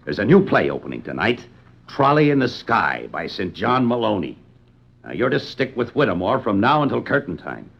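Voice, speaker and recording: An actor playing a gruff, sarcastic, domineering magazine boss in a blustering 1950s radio drama style. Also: male voice, fairly low pitch, very animated, normal speed, heavily acted. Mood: stern. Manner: blustering, sardonic. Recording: muffled 1950s radio broadcast.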